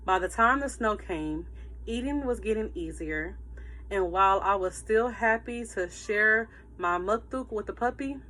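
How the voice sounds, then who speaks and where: Bright, expressive woman reading to children. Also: medium pitch, poor audio. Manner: expressive, emphatic storytelling. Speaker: teacher reading aloud to pupils. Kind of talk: animated children's read-aloud with asides to listeners.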